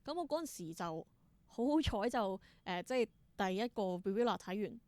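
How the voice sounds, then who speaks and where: A woman's voice: fairly high pitch, lively intonation, normal speed, darkish tone, dry recording. A woman with a Hong Kong Cantonese accent answering as a theatre performer in an interview, explaining things conversationally and dropping in English terms.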